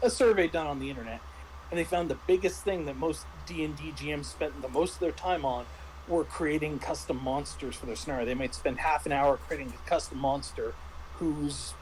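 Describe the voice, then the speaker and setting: A man's voice: a little high, a little fast, darkish tone, slight background noise, low-quality recording. A middle-aged man chatting casually as a game master, stammering and repeating words as he explains things. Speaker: game master reviewing a tabletop RPG. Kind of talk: casual chat.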